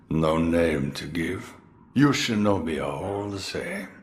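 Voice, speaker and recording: An actor playing a gruff old swordsman in a game cutscene, sly and relishing, with sudden loud outbursts. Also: deep voice, lively intonation, slow. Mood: gruff theatrical. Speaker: mentor recruiting the hero. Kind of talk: video game cutscene acting.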